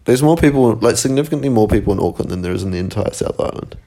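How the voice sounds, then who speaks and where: A man with a New Zealand accent and a fairly low voice, chatting on a podcast. He sounds laid-back and easygoing, with casual swearing running through his talk. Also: animated, very fast, warm tone. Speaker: podcast co-host. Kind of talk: casual chat.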